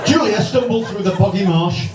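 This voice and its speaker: A man narrating a spoof radio bedtime story live on stage, theatrically, with dramatic pauses. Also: high voice. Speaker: narrator of a live comedy sketch. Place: large indoor club event before live audience. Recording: echoey noisy hall, distant microphone.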